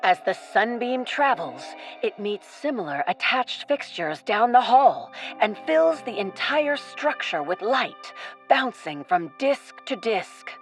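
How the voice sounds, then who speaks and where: A woman narrating a scripted adventure story with dramatic, deliberate phrasing. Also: a little high, very animated. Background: music.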